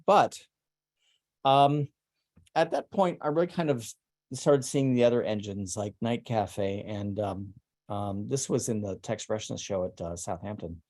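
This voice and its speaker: A middle-aged man walks through his own artwork in an online salon talk. His voice sits fairly low. He talks haltingly, full of ums, trailing off and restarting his sentences. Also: a little mellow. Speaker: presenting artist.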